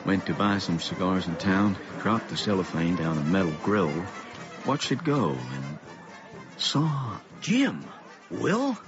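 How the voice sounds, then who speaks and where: A middle-aged father in a radio drama, worried and puzzled. His low voice moves expressively, on a slightly muffled recording with music behind. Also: big pitch swings, slow, exaggerated voice. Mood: uneasy, puzzled. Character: concerned middle-aged father, narrating and talking to his son. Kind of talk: radio drama narration and dialogue.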